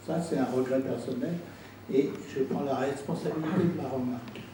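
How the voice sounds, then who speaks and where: A man speaking as a council president, explaining himself in an insistent, deliberate way at a public meeting. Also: medium pitch, fairly even intonation, warm tone. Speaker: president of an intercommunal council answering questions. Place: indoor council meeting room. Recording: very echoey room, distant mic, faint noise.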